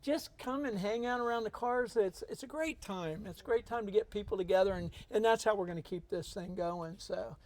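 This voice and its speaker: High-pitched older man hosting casually. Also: a little fast, hardly any pauses, darkish tone. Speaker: host of a car show. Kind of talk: casual unscripted chat.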